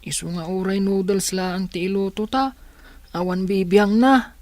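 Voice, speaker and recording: A woman's voice: medium pitch, fairly even intonation, normal speed, darkish tone, no echo, close to an everyday voice. A woman playing a timid orphan boy, the protective older brother, with an Ilocano accent in a radio drama. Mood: hesitant.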